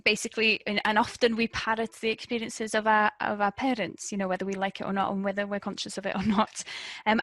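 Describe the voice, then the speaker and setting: A woman's voice: mid-range voice, fairly bright tone, dry recording. A woman researcher answering questions in an explanatory way, often repeating words as she goes. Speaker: researcher guest. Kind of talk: interview answer.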